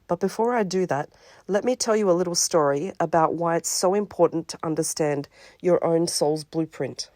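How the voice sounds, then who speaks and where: A woman with a slightly sniffly voice talks in a calm, measured way, as if reading a prepared script about her counselling work. Her voice sits low and mellow, recorded close and dry with no room sound. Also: no echo. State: a little sniffly from a cold. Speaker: spiritual counsellor presenting her service. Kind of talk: scripted promotional talk.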